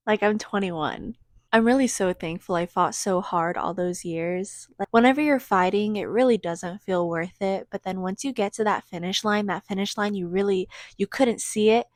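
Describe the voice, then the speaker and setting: A woman's voice: mid-range voice, moderate pace, dry recording, studio quality. A woman in her twenties chatting casually to a phone camera in a vlog, rambling with lots of "like" fillers. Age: 20s. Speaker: vlogger. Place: indoors and in a car.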